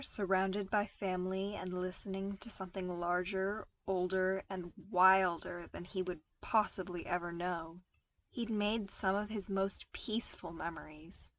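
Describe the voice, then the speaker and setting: A woman reading scripted fiction aloud as an expressive audiobook-style narrator. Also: medium pitch. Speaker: podfic narrator.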